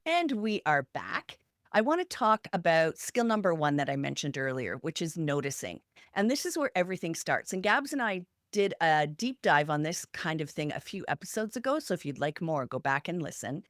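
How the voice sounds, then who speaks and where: Woman podcast host, low voice but lots of up and down, talking advice, dry recording. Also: sing-song, normal speed, fairly bright tone, dry recording. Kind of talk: conversational podcast hosting and advice.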